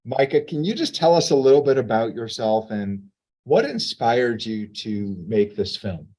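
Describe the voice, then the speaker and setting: A man as president and moderator, opening a virtual panel in a measured, welcoming way with some fillers, heard over a video call. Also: medium pitch, very animated, darkish tone.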